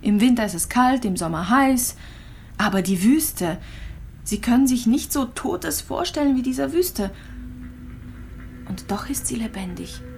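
An actress playing a gravely ill, resigned immigrant woman in a radio drama, speaking simply and dreamily. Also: on the high side, expressive, unhurried, pauses often, darkish tone, a little hiss or hum. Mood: quiet wonder. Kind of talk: radio drama dialogue and monologue.

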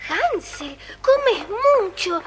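A woman's voice: extremely high pitch, sing-song, very slow, full character voice. A woman voicing an anxious, caring little girl, earnest and childlike, on a children's storybook record. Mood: worried.

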